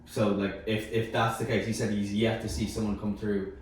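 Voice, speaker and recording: A man's voice: on the low side, flat delivery, moderate pace. A man talking casually in a panel discussion, giving his opinions emphatically and point by point. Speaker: sports media team member in panel discussion. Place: echoey room. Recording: very roomy, distant mic, faint noise.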